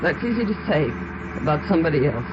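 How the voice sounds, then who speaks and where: A woman's voice: deep voice, very animated, quick pace. Frightened, despairing young American woman, 1950s radio crime drama, dull noisy recording. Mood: bitter, fearful. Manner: distraught, bitter, pleading. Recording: muffled, noisy vintage 1950 radio recording.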